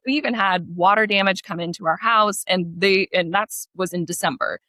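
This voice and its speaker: A woman's voice: medium pitch, normal speed, pushed and bright, no echo. An American woman in her thirties, a guest author chatting animatedly and laughing between points. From United States. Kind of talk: casual interview chat. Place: her home in Portugal.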